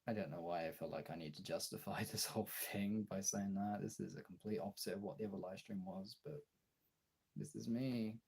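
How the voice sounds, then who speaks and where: Male streamer, gravelly and raspy, confessional off-the-cuff delivery with frequent pauses and casual swearing. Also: fairly low pitch, steady tone, darkish tone. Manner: halting, candid, swearing. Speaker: live streamer and voice actor. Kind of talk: casual confessional livestream chat. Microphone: phone.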